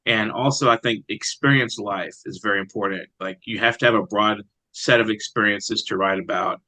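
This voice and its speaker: Bright, low-pitched man, dry sound. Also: steady tone, moderate pace, dry recording, poor audio. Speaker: poet being interviewed. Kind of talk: conversational interview with brief poem reading.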